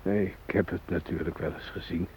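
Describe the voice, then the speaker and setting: An actor in a radio drama playing an ordinary captive family man, shaken but protesting in halting, plain-spoken phrases. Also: deep voice, fairly even intonation, a little mellow, slightly rough. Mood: weary, subdued. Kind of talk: radio drama acting, naturalistic. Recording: dull lo-fi radio drama recording.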